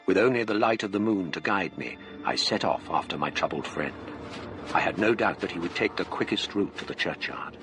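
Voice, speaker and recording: An actor playing an educated English gentleman who narrates a ghost story in measured, formal radio-drama style with an English accent. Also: sits low, lively intonation, music in the background. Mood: grave suspense.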